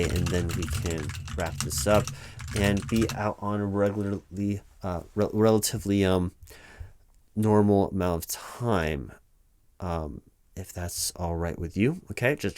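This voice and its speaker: A man's voice: lowish voice, a little mellow. A man playing a flustered, polite corporate hiring manager in a comedic interview roleplay, stammering and hesitating as he goes, with keyboard typing. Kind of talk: one-sided comedic job interview audio roleplay. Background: computer keyboard typing, tapping.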